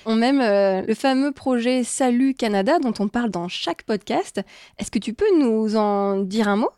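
Upbeat French woman co-host, slightly high voice. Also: expressive, moderate pace, mellow, clear, resonant tone, dry recording, clean studio sound. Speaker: podcast co-host. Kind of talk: scripted travel podcast talk.

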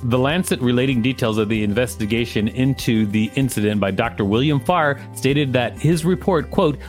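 A man co-hosting a podcast, reading an article aloud and adding playful, mock-indignant asides. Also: mid-range voice. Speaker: podcast co-host.

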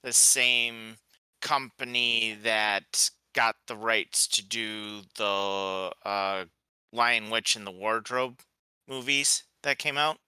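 A man co-hosting a podcast, talking slowly and deliberately with fillers in casual chat. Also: on the low side, fairly even intonation, bright, forceful tone, smooth, no room sound. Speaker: podcast co-host.

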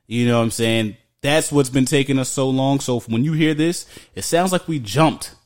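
Young man, podcast co-host, casual slangy chat, loud and joking, home studio. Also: mid-range voice, normal speed. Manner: loud, joking, talks over his brother.

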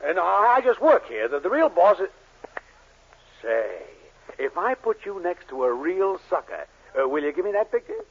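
An actor playing a sneaky, henpecked middle-aged shopkeeper, fussy and stammering, in a 1940s radio comedy. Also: male voice, high-pitched, big pitch swings, moderate pace, creaky, breathy edge, full character voice. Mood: panicky, conniving. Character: flustered, henpecked, sneaky married drugstore owner. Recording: muffled, scratchy 1940s radio recording.

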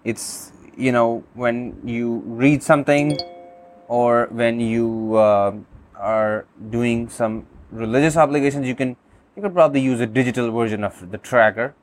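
A man whose first language is Urdu, calmly demonstrating a phone app, with many fillers and restarts. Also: medium pitch, unhurried. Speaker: app reviewer.

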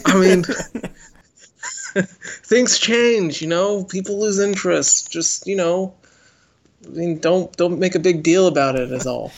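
Casting: male podcast co-host, highish gravelly voice, loose jokey film chat. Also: slow pace, long pauses, slight background noise. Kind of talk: casual, joking film chat.

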